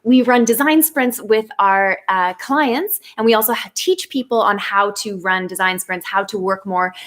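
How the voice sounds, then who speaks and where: A woman's voice: medium pitch, moderate pace, a bit bright. A young woman from Canada hosting a casual live stream chat, giggling and filling her talk with ums and likes. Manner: giggly, chatty, many fillers. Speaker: host, media lead.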